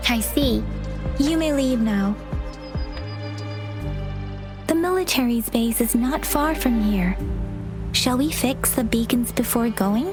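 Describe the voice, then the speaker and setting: A woman's voice: on the high side, measured pace, over music, lightly acted. A woman voicing a composed, watchful young soldier in a video game, polite but probing.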